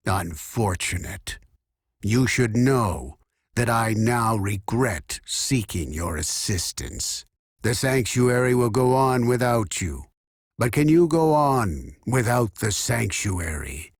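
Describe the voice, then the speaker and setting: Imperious male scholar, video game character, obsessive, grandiose, formal, condescending, rough-edged husky tone, dry sound. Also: mid-range voice, dry recording, full character voice. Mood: disappointed, reproachful. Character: obsessive, imperious male scholar hungry for knowledge, preserving specimens.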